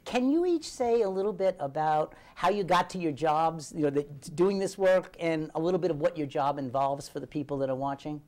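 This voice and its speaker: A man's voice: a little high, a little fast, hardly any pauses, darkish tone. An American man hosting an informal local TV interview in a studio, speaking as an encouraging, responsive listener. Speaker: talk show host.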